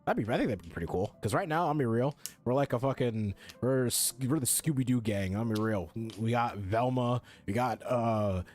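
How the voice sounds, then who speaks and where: A man's voice: medium pitch, lively intonation, moderate pace, darkish tone. Casual, sweary man commentating over faint music. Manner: sweary, jokey, rambling. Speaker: game streamer. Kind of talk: casual let's play commentary.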